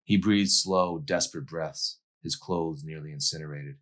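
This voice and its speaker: A man's voice: extremely low pitch, moderate pace, a bit bright. A man reading a novel aloud in an intense, theatrical narrating style. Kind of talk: dramatic audiobook narration.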